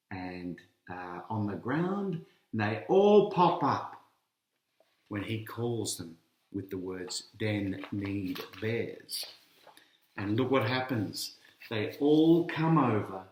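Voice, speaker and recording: A man reading a picture book aloud to children as its author, putting questions to his young listeners and teasing out each reveal. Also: mid-range voice. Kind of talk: children's storytelling read-aloud. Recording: roomy, echoing sound.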